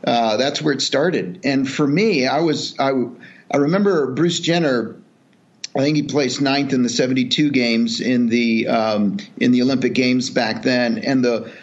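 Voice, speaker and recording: A middle-aged man from El Salvador tells his story earnestly and reflectively, pausing on fillers and restarting his sentences. His voice sits at an ordinary pitch for a man. The sound is a little dull and lo-fi. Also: breathy edge. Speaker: podcast guest, charity executive. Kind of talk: relaxed storytelling interview.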